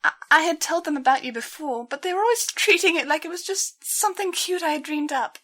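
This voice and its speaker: A young female pony from a dramatized story acted out by a woman, distraught and weighed down by guilt. Her voice sits high and she stammers, hesitating as she forces words out in pleading apology. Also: a little fast, pushed and bright. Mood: distraught, tearful.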